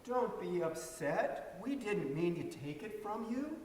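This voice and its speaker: A man reading the part of a calm, reassuring father in a storybook. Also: high-pitched, slow, exaggerated voice. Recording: echoey hall, distant mic.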